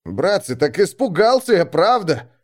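A man voicing a frightened, pleading man in a squeaky, trembling voice for an audiobook adventure. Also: very animated, measured pace, exaggerated voice. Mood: whimpering, pleading. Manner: pleading, stammering repetitions. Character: cornered man playing a whimpering, pleading coward.

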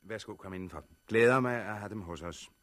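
An actor playing a courteous, orderly colonel in a 1960s radio drama, speaking in a formal, clipped, officious manner. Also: male voice, fairly low pitch, a bit bright, lightly acted. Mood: brisk, courteous. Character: orderly military colonel registering newcomers, courteous bureaucrat.

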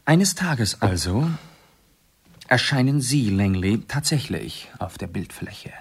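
An actor playing an urbane, self-assured aristocratic amateur sleuth in a German radio play, explaining precisely and theatrically. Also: male voice, medium pitch, expressive, slow pace, husky, exaggerated voice. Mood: savouring, dramatic.

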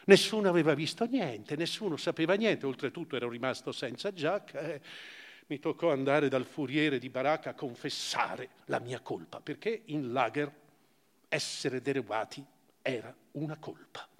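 A man giving a measured, expressive theatrical recitation of first-person literary prose, with deliberate pauses. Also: medium pitch, long reverb tail. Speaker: actor reading literary prose. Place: theatre or hall, live performance.

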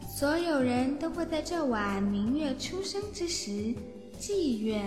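Female drama narrator: high voice, scripted storytelling, echoey with music behind. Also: lively intonation, measured pace. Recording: echoey reverb under music.

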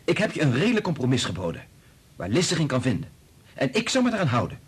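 An actor in a Dutch radio play playing a principled research doctor who is firm, emphatic and quick to anger. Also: male voice, medium pitch, wildly swooping pitch, slightly rough, full character voice. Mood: indignant. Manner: emphatic, argumentative, swearing.

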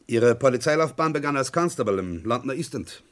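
An actor playing a polite, probing magazine reporter in a 1970s German radio crime drama, measured and slightly hesitant. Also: male voice, mid-range voice, expressive, lightly acted.

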